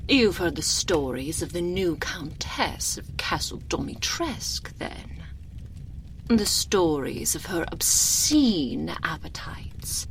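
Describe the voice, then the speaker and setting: A woman playing an imperious, seductive, sinister vampire countess in an ASMR roleplay, haughty and velvety, drawing out her words and sinking into breathy whispers. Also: fairly low pitch, big pitch swings, exaggerated voice, poor audio. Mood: sly, menacing. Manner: haughty, velvety, drawn-out purring delivery with breathy whispered endings. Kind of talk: binaural ASMR roleplay, theatrical character acting. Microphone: close binaural microphone.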